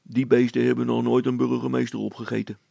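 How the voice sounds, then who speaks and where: Village policeman, plain and bumbling, children's story, male reader; husky, creaky, muddled delivery. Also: medium pitch, level tone, fast talker, dark tone, no echo, dull audio. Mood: matter-of-fact. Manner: blunt, muddled, hesitant. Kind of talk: dramatized storybook reading.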